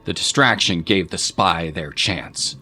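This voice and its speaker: A man's voice: sits low, measured pace, pushed and bright. A man narrating a scripted fantasy story in a descriptive, literary style. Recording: prominent music behind.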